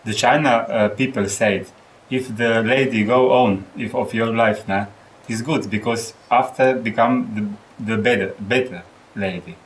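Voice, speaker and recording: A man from Slovenia with a Slovenian accent, an audience member speaking haltingly, in broken English when not using his native Slovenian. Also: medium pitch, fairly even intonation, roomy sound, slight background noise, low-quality recording.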